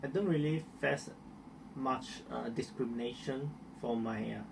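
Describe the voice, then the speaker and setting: A young man with a light Malaysian accent speaks in slow, halting phrases, often restarting words. His voice has a gravelly fry to it. He sits some way from the microphone, with a clear hum of air conditioning behind him. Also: medium pitch, distant mic. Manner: hesitant, many restarts and fillers. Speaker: interviewee. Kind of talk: casual interview answers.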